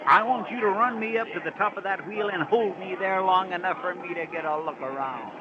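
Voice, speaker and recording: An American actor playing a gullible, folksy country sheriff in an old radio drama. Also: male voice, high-pitched, brisk, natural voice. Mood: self-important. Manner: folksy, bumbling, self-important. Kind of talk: 1940s-50s radio comedy-drama acting. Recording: dull, noisy old radio recording.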